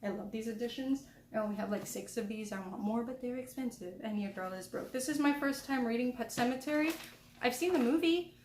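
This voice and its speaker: A woman's voice: on the high side, fairly quick, slight background noise. Chatty young woman with a small voice. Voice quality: small, soft voice. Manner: chatty, rambling, self-deprecating. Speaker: book vlogger. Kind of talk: casual book review chat. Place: home room. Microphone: headphone mic. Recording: echoey room, cheap mic.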